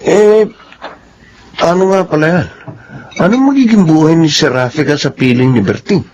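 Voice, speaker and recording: A man's voice: on the high side, normal speed, a little mellow, slight background noise, lightly acted. A man playing a teasing young man who questions and chides his partner in a radio drama. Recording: dull lo-fi radio drama recording.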